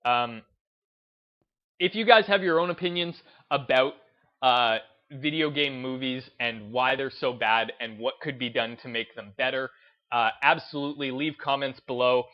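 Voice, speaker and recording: A man's voice: medium pitch, normal speed, lo-fi sound. A man giving casual opinion commentary as a video host, emphatic and repeating phrases for stress.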